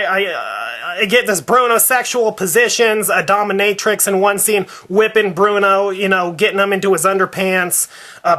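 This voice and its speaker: A man acts out an indignant, devout father in a prank phone complaint. His voice sits high with a bright, sharp edge. He stammers, stalls and circles back over his grievances. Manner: halting, stammering, repetitive. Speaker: prank caller.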